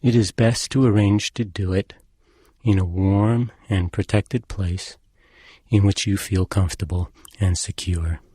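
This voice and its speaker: Deep, husky man guiding meditation. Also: no echo. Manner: calm, measured, gently instructing. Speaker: meditation instructor. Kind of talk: guided meditation narration.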